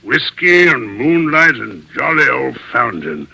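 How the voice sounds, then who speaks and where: Casting: hard-drinking, mocking British rogue for radio play, forceful edgy tone, lively inflection, rude familiarity. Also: male voice, medium pitch, measured pace, creaky, breathy edge, full character voice, poor audio. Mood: brash, tipsy. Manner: jeering, blunt, familiar. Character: rude, mocking, hard-drinking old pal, a coarse villain. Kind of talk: old radio drama acting.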